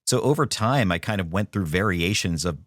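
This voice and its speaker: Deep-voiced man with a Philadelphia accent. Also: expressive, a little fast, fairly bright tone, dry recording, studio quality. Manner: conversational and playful, laughs often. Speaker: podcast guest. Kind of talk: casual podcast chat. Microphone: remote call.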